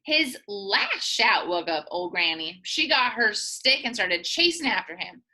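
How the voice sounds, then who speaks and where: A woman reading a children's picture book aloud in a playful, expressive way, as a teacher does for young listeners. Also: mid-range voice, unhurried, slight background noise. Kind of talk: animated children's storybook read-aloud.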